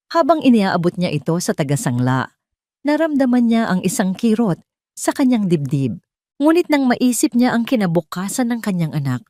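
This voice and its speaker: A woman narrating an emotional drama story in a measured, scripted way. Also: mid-range voice, mellow, no echo, clean studio sound.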